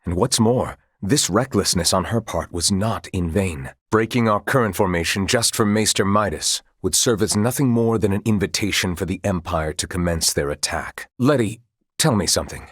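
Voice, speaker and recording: A man's voice: sits low, moderate pace, fairly bright tone, breathy edge, no echo, studio quality. A man playing a composed, proud knight commander of royal blood in a video game, speaking in formal, archaic, courtly phrases. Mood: calm, formal.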